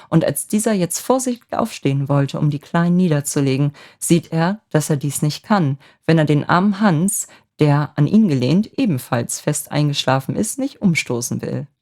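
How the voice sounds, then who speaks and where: A woman with a gentle voice narrating a scripted bedtime fairy tale as a storyteller. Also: sits low, lively intonation, moderate pace, hardly any pauses. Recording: music bed under the voice.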